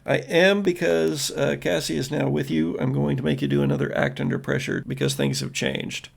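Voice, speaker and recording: An American man narrating a tabletop horror game as game master, telling it in a dramatic, deliberate way with trailing pauses. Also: on the high side, steady tone, vocal fry. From United States. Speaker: game master and podcast host.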